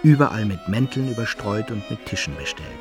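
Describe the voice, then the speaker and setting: A wry storyteller looking back on his follies in a radio drama. His pitch moves in lively swings, with violin music clearly behind him. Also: brisk. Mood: wry, vivid. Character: wry man recounting his foolish youthful adventures. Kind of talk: scripted first-person narration in a radio play.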